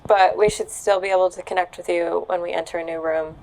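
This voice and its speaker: A woman's voice: fairly low pitch, flat delivery, fairly quick, darkish tone, slight background noise, low-quality recording. A woman playing a tabletop role-playing game, chatting casually and partly in character.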